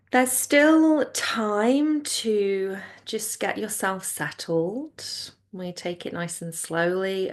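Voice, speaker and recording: A woman leading a guided relaxation as a yoga nidra teacher, speaking in a soothing, unhurried and gentle way. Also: lowish voice.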